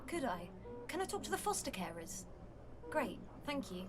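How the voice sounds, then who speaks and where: A high-pitched blunt pregnant schoolteacher in an audio play. She is sardonic and dramatic in how she tells things, with faint noise and ambience behind her. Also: very animated, normal speed. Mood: flustered.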